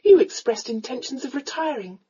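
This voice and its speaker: A woman's voice: high-pitched, lively intonation, normal speed, dark tone, husky, muffled recording, pitched above the performer's own voice, exaggerated voice. A woman voicing a prim, indignant young Regency lady in an audiobook, speaking formally, precisely and with a flustered edge.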